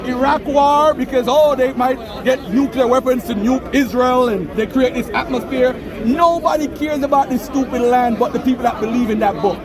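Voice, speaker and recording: Man with a very high voice pressing his point over a loud crowd at an outdoor debate. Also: normal speed, few pauses. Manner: insistent, repeats himself, talks over others. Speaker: street debater. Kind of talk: heated street argument. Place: outdoor public speakers' corner. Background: crowd of onlookers.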